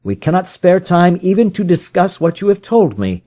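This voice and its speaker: A man's voice: sits high, very animated, normal speed, dark tone, pitched above the performer's own voice. A man voicing an impatient, decisive male detective in an audiobook, with emphatic, formal Victorian diction. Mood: urgent, commanding. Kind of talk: audiobook character voice in a detective story.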